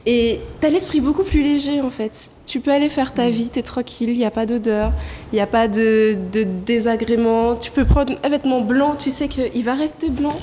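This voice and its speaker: A woman's voice: a little high, steady tone, normal speed, mellow, slight background noise. Enthusiastic woman co-host. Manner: enthusiastic, recommending. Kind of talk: casual chat.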